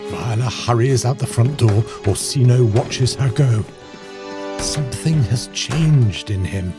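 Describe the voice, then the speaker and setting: A man's voice: mid-range voice, unhurried, lightly acted. A man narrating an audio drama as a knowing, conspiratorial storyteller. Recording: music bed behind the voice.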